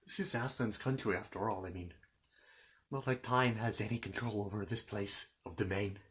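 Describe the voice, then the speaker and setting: A man's voice: on the low side, fairly even intonation, a bit bright, lightly acted. A man playing a polite, bumbling, bookish fantasy knight in an ASMR roleplay, stammering and apologetic as he chats. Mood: friendly, sheepish. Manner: halting, stammering, apologetic, chatty. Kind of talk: improvised ASMR character roleplay.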